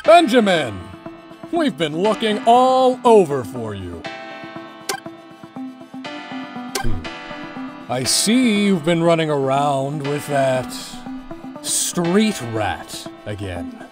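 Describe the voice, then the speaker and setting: Guitar music plays behind a slow, theatrical voice. It is a man playing a haughty father in an animated game scene, condescending and goading, with wide swings in pitch. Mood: scornful. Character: haughty wealthy father, retired singer, scornful and goading. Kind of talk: voice acting in animated game cutscene.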